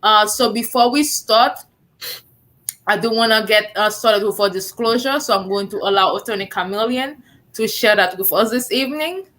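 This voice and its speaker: Bright-voiced woman hosting a live talk show. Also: medium pitch, moderate pace. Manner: warm, chatty, many fillers. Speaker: host.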